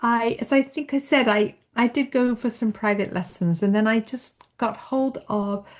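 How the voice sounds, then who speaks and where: A woman's voice: fairly high pitch, normal speed. A British woman, a novelist, answers interview questions in a reflective, modest way. She restarts her sentences often while she works her thoughts out. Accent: British English. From Britain. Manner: thoughtful, self-deprecating, frequent restarts. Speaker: novelist guest. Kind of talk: interview conversation.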